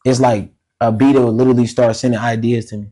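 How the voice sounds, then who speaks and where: Raspy-voiced male guest, loose and slangy, easy podcast chatter. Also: mid-range voice, level tone, fast, warm tone, poor audio. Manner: laid-back, slangy, laughs often. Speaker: musician guest. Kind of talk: casual podcast interview.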